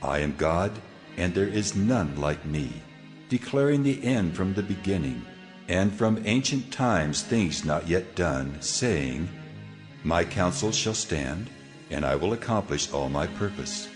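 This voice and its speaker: God in a dramatized scripture reading. The voice is very deep, measured and full of solemn authority. Faint music runs underneath. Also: male voice, lively intonation. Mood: solemn, commanding. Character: God, solemn, commanding male deity speaking to his people.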